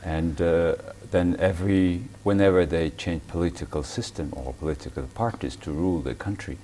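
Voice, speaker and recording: A man with an extremely deep, low voice asks his questions slowly, pausing and restarting as he feels for the words. A faint hum runs under him. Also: warm tone. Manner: halting, hesitant phrasing, frequent back-channel murmurs. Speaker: interviewer host. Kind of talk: interview questions.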